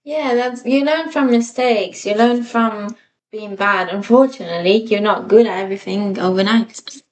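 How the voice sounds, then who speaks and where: Woman podcast cohost, bit far from the mic, rambly casual chat, kinda self-deprecating, normal pitch. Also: normal speed, a little mellow. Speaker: podcast co-host.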